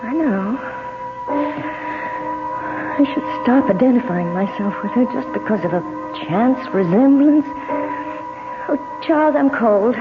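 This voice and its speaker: Anxious young American bride, high-voiced. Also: female voice, lively intonation, unhurried, pauses often. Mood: entranced, uneasy. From United States. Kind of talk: radio drama acting. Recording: tinny old radio broadcast, music behind.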